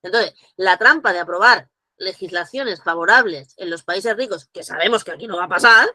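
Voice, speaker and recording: A woman, speaking as guest at a virtual conference, animated and full of restarts. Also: medium pitch, moderate pace, no room sound. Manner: animated, acts out quoted dialogue, frequent restarts. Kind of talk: virtual conference talk, answering questions. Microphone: video call.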